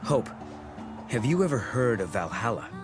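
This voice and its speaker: A man's voice: medium pitch, big pitch swings, normal speed, natural voice. An actor playing a plain-spoken young male adventurer in a video game, talking in a casual, laid-back way. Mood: matter-of-fact. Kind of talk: video game English dub acting. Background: music.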